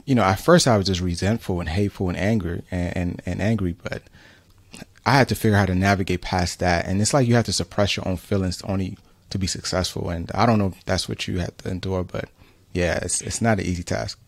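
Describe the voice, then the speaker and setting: A low-voiced man from New York City hosts a casual interview, asking his questions in an earnest, reflective way. The recording is dry and close. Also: fairly even intonation, fairly quick, vocal fry, no room sound. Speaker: interview host.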